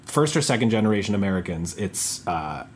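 A man in his twenties hosting a casual podcast interview, relaxed and encouraging, swearing now and then. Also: on the low side, brisk, slight background noise. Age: 20s. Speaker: podcast host.

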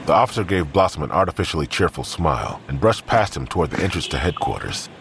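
A man's voice: very deep voice, big pitch swings, fast. A man reading scripted narration as the narrator of an audio drama. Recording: clearly noisy background ambience.

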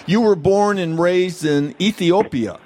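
A male radio interviewer with a slightly high voice who leads his guest with summing-up questions. The sound is a bit dull and lo-fi. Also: unhurried, darkish tone.

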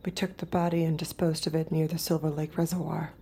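Low, gravelly, haunted artificial woman. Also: little pitch movement, quick pace, slight background noise. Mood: wistful, brooding. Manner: quiet, intimate, breathy inner monologue. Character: subdued artificial young woman, haunted reluctant killer. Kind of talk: dramatic first-person audio fiction narration.

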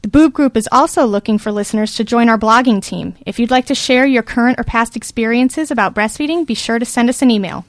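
A woman hosts a podcast discussion in a studio. She sounds friendly and encouraging, with a somewhat high voice and a close, dry sound. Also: fairly quick, hardly any pauses, no room sound. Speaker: podcast host. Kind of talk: casual panel discussion. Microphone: studio microphone.

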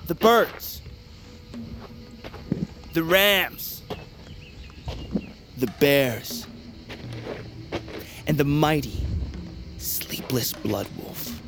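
A man's voice: a little high, very animated, extremely slow, long pauses, music in the background, exaggerated voice. A man playing a grand, ominous mythic storyteller, narrating in a theatrical, portentous way that savors the words. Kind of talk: dramatic scripted narration.

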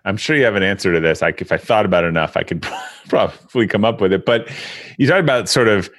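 A man's voice: fairly low pitch, fast talker, a bit bright, dry recording, clean studio sound. A man hosting a conversational interview, thinking aloud and often restarting his phrases as he frames a point. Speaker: interviewer, host.

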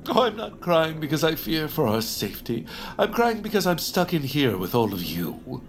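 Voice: mid-range voice, big pitch swings, moderate pace, slight background noise. An actor playing a haughty, sarcastic baron in a comedy radio play, speaking in a pompous manner. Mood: snooty.

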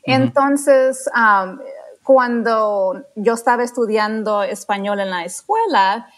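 A woman from Texas speaking Spanish as a learner, often pausing to search for words and correcting herself. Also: on the high side. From Texas, United States. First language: English. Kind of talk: casual interview chat.

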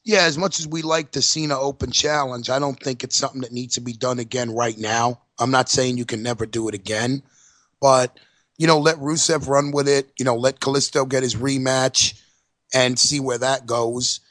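A man giving blunt, emphatic takes on a podcast, swearing freely as he makes his case. His voice has an ordinary male pitch and is recorded dry and close. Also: steady tone, moderate pace, a bit bright, no echo. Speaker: podcast co-host. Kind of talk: casual wrestling chat.

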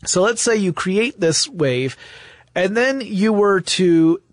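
Higher-voiced man explaining, close dry sound. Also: lively intonation, slow pace, dry recording. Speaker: podcast host. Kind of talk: explanatory conversation about technology history.

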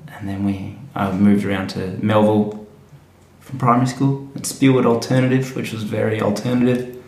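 A man's voice: fairly low pitch, fairly even intonation, moderate pace, a little mellow. A man from Western Australia with an Australian accent, chatting casually as an interview guest. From Fremantle, Western Australia. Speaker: interview guest, tour operator. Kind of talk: casual chat. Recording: roomy, echoey sound.

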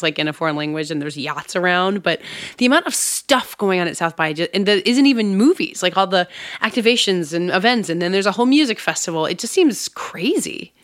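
A woman hosting a podcast with a hoarse, scratchy voice, chatting and laughing easily. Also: on the low side, expressive, fairly quick, fairly bright tone, dry recording, studio quality. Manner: laughs often, conversational. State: hoarse, lost her voice. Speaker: podcast host, deputy editor. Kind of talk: casual chat.

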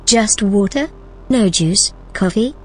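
A woman playing a calm, helpful, gently teasing artificial intelligence in a naturalistic audio drama. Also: mid-range voice, slow, a little hiss or hum. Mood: obliging, playful. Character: calm, obliging, playful female artificial intelligence.

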